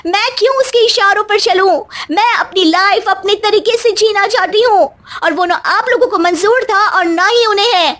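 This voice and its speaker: Brash, pampered young daughter-in-law for a cartoon female performer: extremely high pitch, sassy, dismissive, English sprinkled in. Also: moderate pace, hardly any pauses, a bit bright, dry recording. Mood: indignant. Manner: sassy, dismissive, drops English phrases into Hindi. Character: spoiled young woman, foreign-returned brash daughter-in-law. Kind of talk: Hindi cartoon dialogue acting.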